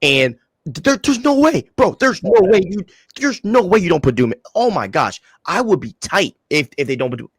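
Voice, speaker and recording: A man's voice: sits high, big pitch swings, moderate pace, breathy edge, no room sound. Rambling young man, dry sound. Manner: rambling, heavy slang and filler, emphatic. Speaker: podcast co-host. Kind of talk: casual podcast chat.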